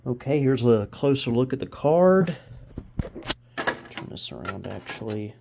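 A man's voice: mid-range voice, expressive, unhurried, vocal fry, noisy background. A man giving casual hands-on commentary as a video host, thinking aloud and often unsure. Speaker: host of a vintage computer video channel. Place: indoors in a home room.

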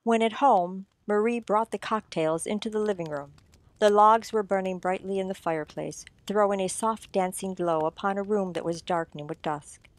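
A woman's voice: medium pitch, moderate pace, no echo. A woman narrating a suspense radio play in a dramatic, scripted storytelling style.

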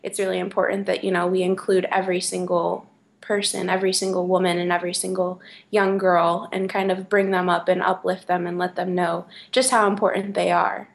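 A woman's voice: fairly low pitch, little pitch movement, a little fast, gravelly. A young woman speaking in an earnest, sincere way during an indoor testimony. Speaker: interviewee. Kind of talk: personal testimony. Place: indoor room.

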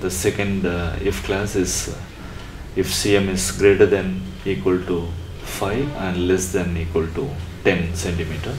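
A man narrating a coding tutorial step by step, unscripted, in a halting way. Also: low voice, little pitch movement. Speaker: electronics tutorial presenter. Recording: roomy echo with faint background noise.

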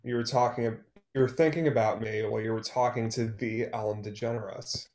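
A man's voice: medium pitch, steady tone, brisk, a crowd in the background, lightly acted. A man in his twenties playing a hurt, guarded, wry young man facing an old love, in a play read over a video call. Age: 20s. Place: room at home. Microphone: computer mic on a video call.

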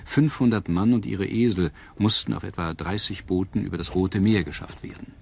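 A man narrating a scripted history documentary in a measured, dramatic storytelling manner. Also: low voice, gravelly, breathy edge. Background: prominent music.

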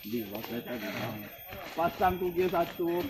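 A man's voice: a little high, lively intonation, normal speed, a loud crowd. A man chatting and joking with friends while hiking a forest trail, filming on a handheld phone. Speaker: hiker filming the hike. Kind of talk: casual chat while hiking. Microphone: handheld phone camera.

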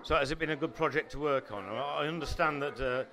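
A man's voice: fairly high pitch, fast, sharp tone. A man with an English accent interviewing guests at a launch, asking chatty, friendly questions.

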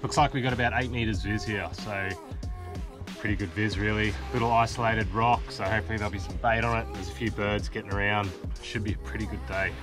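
A young Australian man with a blocked-up nasal voice, talking in a relaxed, chatty way as a vlog host and narrating his own footage. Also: on the low side, fast talker. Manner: laid-back, chatty, easygoing. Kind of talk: casual vlog talk and voice-over narration. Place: open boat on the sea, outdoors. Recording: music playing under the voice.

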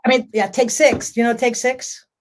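A woman's voice: a little high, little pitch movement, moderate pace. Chatty older woman on a video call. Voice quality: strong voice. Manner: chatty, laughs often, rambling. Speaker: jazz singer, guest. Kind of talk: casual video-call interview. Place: home room.

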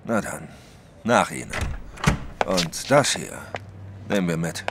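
An actor playing a big, brusque, skeptical detective in an audio drama, questioning in a dry, commanding way. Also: male voice, sits low, lively intonation, slow pace, vocal fry, very noisy, heavily acted. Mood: dryly threatening. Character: huge, brusque, skeptical French male detective. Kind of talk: German audio drama acting.

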